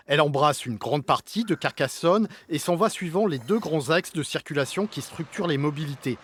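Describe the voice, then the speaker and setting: A male reporter narrates a scripted television news segment. His delivery is even and read aloud, and his voice sits slightly higher than most men's.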